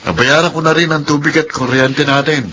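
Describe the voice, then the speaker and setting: A man's voice: a little high, fairly even intonation, brisk, pushed and bright, slight background noise. A man playing an earnest, responsible young eldest brother in radio drama dialogue. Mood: earnest, resolute.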